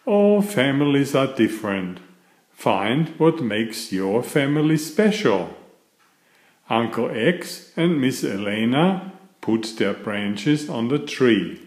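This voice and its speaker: Male storybook narrator for young children, unhurried and animated in pitch, mid-pitched voice, recorded in an echoey room. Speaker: storyteller and channel host. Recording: roomy, echoing room sound.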